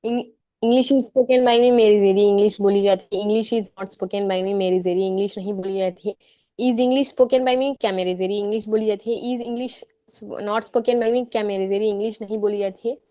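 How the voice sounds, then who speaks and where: Mellow-voiced woman student reciting. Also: mid-range voice, steady tone, no echo, lo-fi sound. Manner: slow English, quicker Hindi. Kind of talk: reciting grammar drills.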